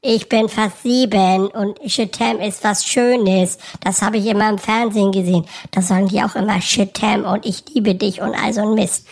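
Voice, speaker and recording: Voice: medium pitch, moderate pace, vocal fry, hardly any pauses. Eine Sprecherin spielt in einem Comedy-Sketch einen frechen, angeberischen sechsjährigen Jungen, der plappert und vor Aufregung stottert. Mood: beleidigt. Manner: chatty, cheeky, stammers when excited. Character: cheeky boastful six-year-old boy.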